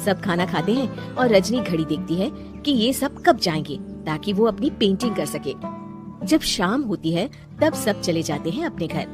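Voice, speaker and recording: A woman tells a scripted cartoon tale in a medium-pitched voice. Her intonation moves up and down in an animated way, and soft music plays behind her. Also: normal speed. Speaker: storyteller. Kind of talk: scripted cartoon story narration.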